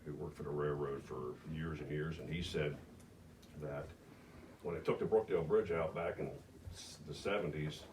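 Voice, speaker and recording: An older man, a local resident, telling stories casually in a rambling, halting way with many fillers. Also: rumbling low. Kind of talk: casual storytelling. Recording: far from mic, loud background noise.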